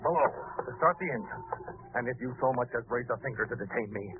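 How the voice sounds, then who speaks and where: An actor playing a suave, gloating murderer with mocking, elaborate courtesy in an old radio drama. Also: male voice, medium pitch, animated, fast, close to an everyday voice. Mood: defiant menace. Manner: mannered, theatrical, elaborately polite wording. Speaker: actor in a radio mystery serial. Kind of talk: old-time radio villain acting. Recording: tinny, noisy old radio recording.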